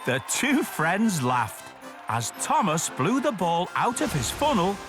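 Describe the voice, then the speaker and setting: A man with a high voice presents for young children. His delivery is expressive and carefully enunciated, with very wide swings in pitch, over music. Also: slow pace.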